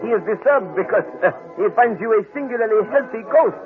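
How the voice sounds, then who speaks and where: An actor playing a courteous, methodical detective with a French accent in 1940s radio drama, precise and formal. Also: male voice, high voice, lively intonation, fast talker. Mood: calm, firm. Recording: tinny old radio recording with music.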